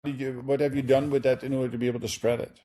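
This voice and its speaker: A man with a Dutch accent hosts a business interview podcast. His voice is mellow and relaxed, and he speaks in a halting way, stacking fillers and restarts as he works toward his questions. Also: medium pitch. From Netherlands. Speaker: podcast host.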